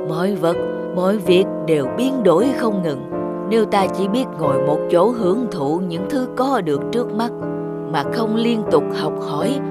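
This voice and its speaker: A woman playing a wise, stern middle-aged father in a cartoon, admonishing in a measured way. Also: medium pitch, close to an everyday voice. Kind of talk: cartoon dialogue.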